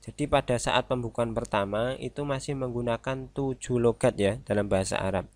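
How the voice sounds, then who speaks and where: A male religious studies teacher, giving a recorded online lesson. He explains step by step, often pausing on "nah" and "eh" and correcting himself as he goes. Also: medium pitch, darkish tone, dry recording.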